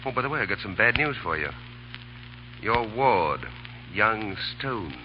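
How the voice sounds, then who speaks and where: Dull old radio sound, drawling officer, amused, mocking, highly animated. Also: male voice, a little slow, noisy background. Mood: teasing. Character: teasing, breezy British male army officer. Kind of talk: 1930s radio acting. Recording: dull 1930s radio recording.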